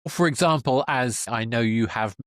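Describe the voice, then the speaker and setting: A man's voice: medium pitch, normal speed, no room sound, clean studio sound. A man hosting a conversational interview, feeling his way toward questions in a tentative, roundabout manner. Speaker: podcast host, interviewer.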